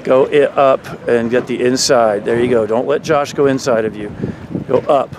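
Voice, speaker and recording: A man's voice: medium pitch, fairly even intonation, normal speed. A man coaching sailors from a motorboat, explaining technique and calling out commands. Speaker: sailing coach. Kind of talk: live on-the-water coaching commentary. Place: outdoors on a motorboat on a lake. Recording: wind noise on camera mic.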